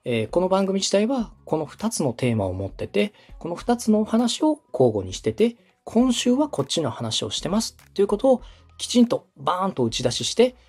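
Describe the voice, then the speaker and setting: A man's voice: sits high, very animated, normal speed, few pauses, a little mellow, slightly rough. A man giving structured, polite advice as a radio director coaching a podcaster, methodical and instructive. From Osaka, Japan. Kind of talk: structured advisory talk, polite explanation.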